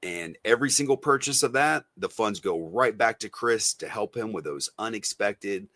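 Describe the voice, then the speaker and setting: Lowish-voiced man on a casual podcast. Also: expressive, normal speed, sharp tone, dry recording. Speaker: podcast co-host. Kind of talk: casual podcast talk with announcements.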